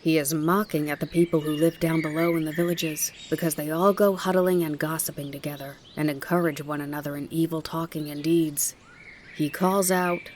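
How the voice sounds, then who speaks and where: A woman voicing an old mountain grandfather in a storybook, speaking in a measured, plain, instructive way. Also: sits low, darkish tone, slight background noise, natural voice. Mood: stern, scornful. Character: gruff-minded, kindly old mountain grandfather.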